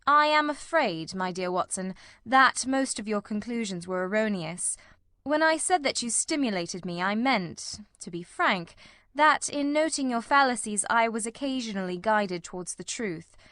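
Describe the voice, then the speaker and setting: A woman playing a shrewd, self-assured male detective in a dramatized audiobook, with measured, condescending, precise delivery. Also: a little high, animated, a bit bright, dry recording, studio quality. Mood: analytical, condescending.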